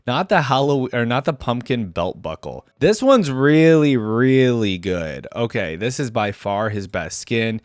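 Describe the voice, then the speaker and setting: A man's voice: mid-range voice, very animated, a little slow, hardly any pauses, dry recording, clean studio sound. A man hosting a video game reaction, talking informally and conversationally as he thinks aloud. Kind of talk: casual reaction commentary.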